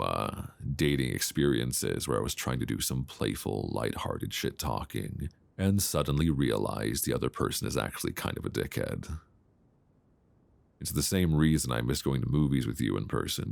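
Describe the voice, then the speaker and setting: A man playing an affectionate, gently teasing long-distance boyfriend in an intimate, unhurried ASMR roleplay voice message, speaking conversationally close to a binaural microphone. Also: a bass voice, creaky, no echo, full character voice. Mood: fond, teasing. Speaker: audio roleplay performer.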